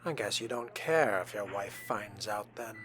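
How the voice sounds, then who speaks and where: A man's voice: lowish voice, moderate pace. A man role-playing through a game in character, reading and commenting in a formal, arch, often murmured way. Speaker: game player narrating in character.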